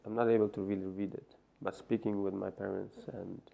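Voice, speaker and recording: Deep gravelly man. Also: little pitch movement, brisk. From Afghanistan. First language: Farsi. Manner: hesitant, many fillers and restarts. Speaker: interview guest. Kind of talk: personal story interview. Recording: muffled lo-fi sound.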